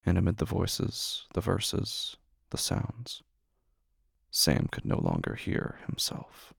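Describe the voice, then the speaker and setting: A man narrating a horror audio drama in a slow, hushed storytelling manner. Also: sits low, wildly swooping pitch, vocal fry, slightly rough, no echo, studio quality.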